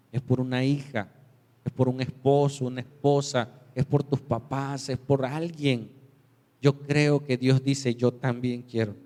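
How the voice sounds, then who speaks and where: A man preaching a sermon as pastor, telling stories and acting out the voices of the people in them. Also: mellow. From Mexico. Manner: storytelling, acts out quoted voices with big pitch jumps. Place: church sanctuary. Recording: reverberant church hall.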